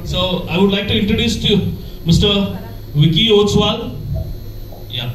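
Man, team representative, high voice, polite formal speech, echoey hall, distant mic. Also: measured pace, a crowd in the background. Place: large hotel function room. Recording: echoey hall, heard from far off.

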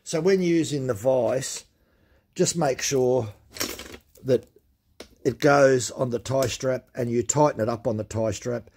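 A middle-aged man talks through a hands-on workshop demonstration, plain-spoken and practical, with plenty of fillers. His voice is husky and mellow. He is picked up by the camera filming his hands at the bench. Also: mid-range voice, unhurried, pauses often. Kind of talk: casual hands-on tool demonstration. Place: indoor workshop at a cluttered workbench. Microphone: camera mic filming his hands up close.

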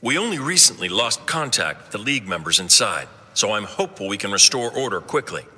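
An actor playing a confident, steady superhero in a video game, plainspoken and encouraging. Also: male voice, fairly low pitch, a little fast, in a large hall, heavily acted. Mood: steady, determined. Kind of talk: scripted video game acting. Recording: noisy game battle ambience.

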